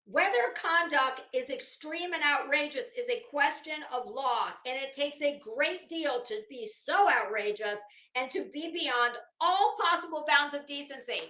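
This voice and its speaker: High, bright-voiced brash woman in echoey room. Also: expressive, a little slow, few pauses. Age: middle-aged. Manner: brash, sweary, opinionated; dramatic, emphatic delivery. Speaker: livestream host. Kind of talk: casual livestream commentary.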